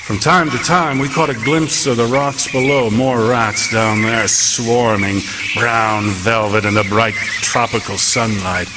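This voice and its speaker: A man playing a haunted lighthouse keeper in a radio horror drama, telling his tale in an emphatic, halting, theatrical way. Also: medium pitch, steady tone, over loud music, close to an everyday voice, poor audio. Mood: haunted, reflective. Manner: dramatic, halting, emphatic storytelling with repeated phrases. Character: haunted lighthouse keeper narrating a rat siege, intense, horrified.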